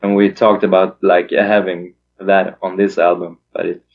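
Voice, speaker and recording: A man's voice: low voice, little pitch movement, moderate pace, vocal fry, phone-quality sound. A Swedish man in his thirties, a musician guest in a casual interview, hesitant with lots of fillers. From Sweden.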